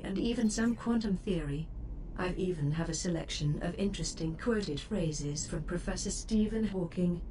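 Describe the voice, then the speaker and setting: A woman voicing a self-aware female ship computer in a sci-fi game, polite and superior, speaking in formal, measured, articulate sentences. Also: fairly low pitch, warm tone, natural voice. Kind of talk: scripted game voice assistant lines. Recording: distant, with faint background noise.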